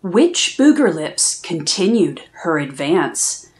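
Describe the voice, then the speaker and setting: Slow, lively storybook reader, woman. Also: fairly low pitch, poor audio. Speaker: children's book reader. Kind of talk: storybook read-aloud.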